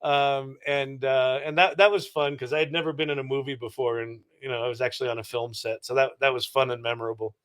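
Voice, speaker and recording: A man's voice: mid-range voice, level tone, quick pace, hardly any pauses, fairly bright tone. A middle-aged man giving casual, reflective and explanatory answers as an interview guest. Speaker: interview guest, entrepreneur and former actor.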